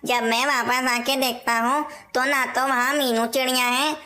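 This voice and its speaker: A woman's voice: high-pitched, level tone, moderate pace, bright, forceful tone. A woman voicing an anxious, indignant father parrot who rules as king in a cartoon story. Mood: angry, hurt. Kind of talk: cartoon moral-story dialogue.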